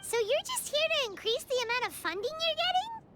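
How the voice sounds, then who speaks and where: A woman's voice: very high-pitched, big pitch swings, a little fast, bright, forceful tone, faint music behind, full character voice. A woman voicing a tiny, pert, outspoken fairy companion in an anime-style video game. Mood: pert, eager. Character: tiny, squeaky, bossy floating fairy companion.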